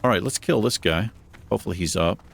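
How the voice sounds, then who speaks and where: A man with a deep voice hosting a gaming channel, chatting casually and self-deprecatingly while he plays. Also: fast talker, creaky, soft background music. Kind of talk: casual gameplay commentary. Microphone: desk microphone.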